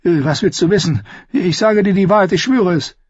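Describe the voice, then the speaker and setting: Man acting a shrill, terrified pleading captive. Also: animated, unhurried, slightly rough, no echo, lo-fi sound, exaggerated voice. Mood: nervous, confused. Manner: stammering, whining, shrill when panicked. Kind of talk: audiobook character voice.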